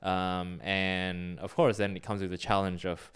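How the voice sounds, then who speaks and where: Young male podcast co-host, deep and clear, halting conversational delivery. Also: little pitch movement. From Singapore. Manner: hesitant, many fillers and restarts.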